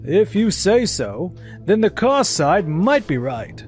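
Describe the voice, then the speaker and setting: A man voicing a stern, measured male superior in a comic dub, deliberate, pointed and reproving. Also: sits high, very animated, a little mellow, soft background music, heavily acted. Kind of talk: scripted manga dub voice acting.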